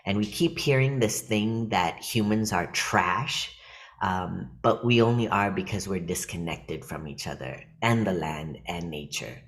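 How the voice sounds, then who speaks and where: A man from California with a deep, low voice, speaking to a workshop over a video call. He talks in a loose, reflective way, full of fillers and restarts, like someone chatting rather than lecturing. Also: a little slow, a bit bright. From California, USA. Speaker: workshop presenter and comedian. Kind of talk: casual talk to an online workshop.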